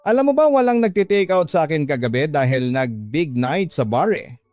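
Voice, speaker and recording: A man's voice: on the high side, very animated, normal speed, a little mellow, dry recording, faint music behind. A man playing a frank, earnest young working-class older brother who admonishes and confides in a radio drama. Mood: light, boastful.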